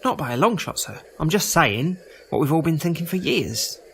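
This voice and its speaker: A man's voice: a little high, sing-song, normal speed, gravelly, a bit bright, full character voice. Man acting a folksy prejudiced market trader, noisy background. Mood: self-righteous. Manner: folksy, rambling, defensive. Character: prejudiced, chatty male alien market-stall trader. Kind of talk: full-cast audio drama acting.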